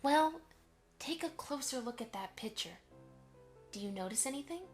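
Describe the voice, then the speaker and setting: A woman voicing a gentle, affectionate mother pony who reminisces fondly in an amateur audio drama. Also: sits high, animated, moderate pace, soft background music. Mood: fond, nostalgic. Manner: affectionate, storytelling to a child.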